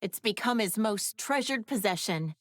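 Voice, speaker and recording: Woman voicing a sassy, animated anime woman. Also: mid-range voice, normal speed, no room sound, natural voice. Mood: breezy explaining. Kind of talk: animated game voice acting.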